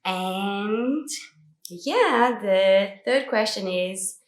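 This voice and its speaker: A woman's voice: medium pitch, very slow. A woman in her twenties from Brazil with an Australian accent and Portuguese as her first language, chatting casually and upbeat to camera as a vlogger answering questions. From Brazil, moved to Australia at twelve. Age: 20s. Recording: echoey room.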